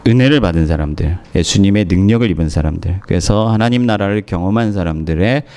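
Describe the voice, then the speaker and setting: A man preaching a sermon as pastor, hoarse from a cold, speaking in measured phrases with pauses and fillers. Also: deep voice, warm tone. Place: church in Moscow.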